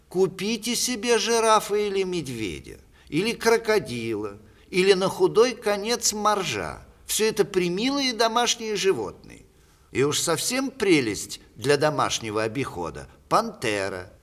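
A man playing a witty, sardonic elderly playwright, speaking with measured theatrical irony in a radio play. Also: medium pitch, fairly bright tone. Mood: exasperated, teasing. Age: older. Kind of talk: 1960s radio theatre acting.

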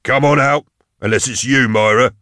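A man voicing a fat, gruff man in his sixties, an old soldier and building caretaker in an audiobook. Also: mid-range voice, steady tone, moderate pace, pushed and bright, no echo, studio quality, voice pushed up, lightly acted. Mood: gruff, challenging. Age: 60s. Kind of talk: audiobook character dialogue.

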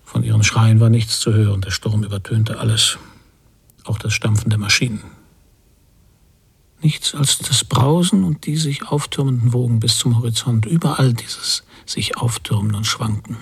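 An actor playing a brooding emigrant father who recalls a stormy sea voyage in a reflective, measured radio play monologue. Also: mid-range voice, gravelly, slightly rough. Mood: awed reverie.

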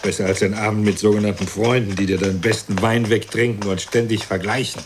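Through clear background noise comes an actor as a self-satisfied husband with a lowish voice. He is a middle-aged, well-off man in a radio play, callous and opinionated, holding forth with a sneer. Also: level tone, fast, close to an everyday voice. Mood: excited. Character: smug, callous well-off husband commenting on television violence. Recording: clearly noisy recording.

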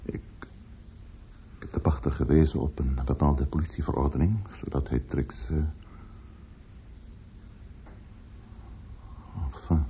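An actor in a radio play playing a defensive, grieving older man, earnest and halting, often repeating words. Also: rumbling low, gravelly, warm tone. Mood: hesitant, explaining. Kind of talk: Dutch radio play acting. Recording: dull, noisy old radio recording.

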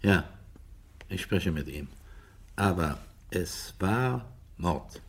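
An actor playing a brooding, sceptical investigator in a German radio crime drama, deliberate and terse in his delivery. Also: deep voice, lively intonation, gravelly, husky, full character voice. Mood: calm, stubborn. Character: brooding, sceptical male investigator, calm and stubborn.

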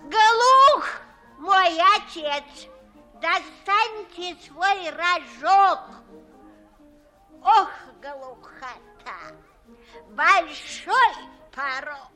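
A very high, bright old woman's voice, querulous and half-deaf. She is a doddering countess in a 1950s Russian radio play, halting and calling out her questions, with faint music behind her. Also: animated, full character voice. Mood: querulous, scandalized. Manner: halting, exclaiming, asks again loudly.

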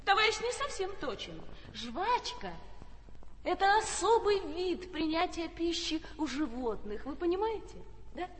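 A know-it-all waitress. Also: female voice, very high-pitched, sing-song, unhurried, exaggerated voice. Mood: lecturing. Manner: lecturing, textbook recitation. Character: know-it-all young waitress, veterinary graduate. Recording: stage recording in a reverberant hall.

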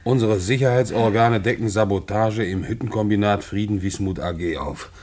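Radio play character, male actor: gossiping eavesdropper husband, colloquial, insinuating, low register. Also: normal speed, a little mellow, close to an everyday voice. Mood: reading pointedly. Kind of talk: 1950s-set German radio play acting.

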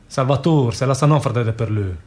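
A man's voice: medium pitch, moderate pace, darkish tone, lightly acted. A man playing an earthy, fervent meat seller in a radio play, declaiming with insistent repetition. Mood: blunt, colloquial. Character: earthy, fervent male meat vendor at a market. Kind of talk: theatrical radio play acting.

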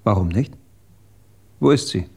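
An actor playing a nervous, impatient husband short of money in a German radio crime drama, agitated and demanding. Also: male voice, fairly low pitch, animated, measured pace, creaky, warm tone, slightly rough, full character voice.

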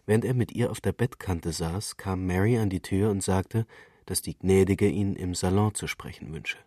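A man with a very low, rough-edged voice narrates literary fiction. He reads in a deliberate, measured storytelling way, captured close and dry with no room sound. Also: a little mellow, no echo. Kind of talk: literary scripted narration, radio reading.

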